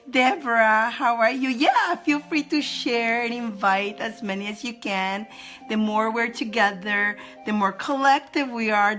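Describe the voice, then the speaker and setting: A woman with a squeaky voice hosting a live spiritual advice stream from home, chatting casually and greeting viewers by name. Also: a bit bright. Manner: greets viewers by name in high sing-song, laughing often.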